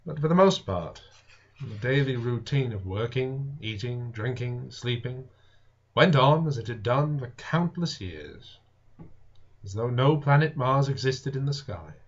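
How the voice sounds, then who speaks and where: On a slightly muffled, lo-fi recording, a man reads a classic novel aloud as scripted narration. His pitch sits in an ordinary male range, and he delivers the prose theatrically, leaning on key words with deliberate emphasis. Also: lively intonation. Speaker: audiobook reader and show host.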